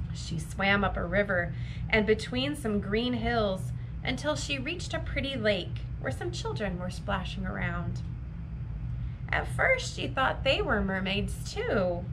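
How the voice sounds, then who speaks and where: A woman reading a fairy tale aloud for children in an expressive, deliberate storytime manner. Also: mid-range voice, noisy background. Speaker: library storytime reader.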